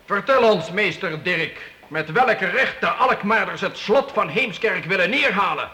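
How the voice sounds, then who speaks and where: An imperious, animated medieval count. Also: male voice, high voice, measured pace, in a large hall, heavily acted. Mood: commanding, stern. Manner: stately, commanding, formal royal we. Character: imperious but fair-minded medieval count holding court. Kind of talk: Dutch historical radio play acting. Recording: faintly noisy old radio play.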